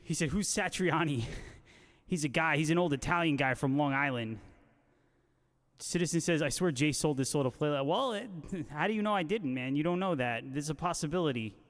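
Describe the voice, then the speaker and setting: A man's voice: a little high, lively intonation, normal speed. A man hosting a casual guitar livestream, reading out viewer comments and bantering with joking, slangy talk. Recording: long hall-like reverb on voice.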